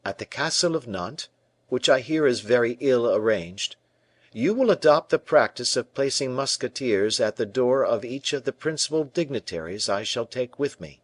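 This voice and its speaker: A man's voice: mid-range voice, expressive, normal speed, no room sound, voice pushed up, natural voice. A man voicing a young French king who asks curt, imperious questions in a raised voice. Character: young king, commanding and guarded.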